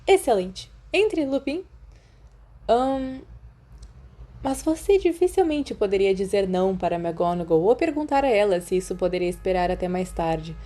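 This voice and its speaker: A woman's voice: mid-range voice, sing-song, moderate pace, dark tone. A woman with a Brazilian accent reading a story as a scripted audiobook narrator. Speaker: podfic narrator.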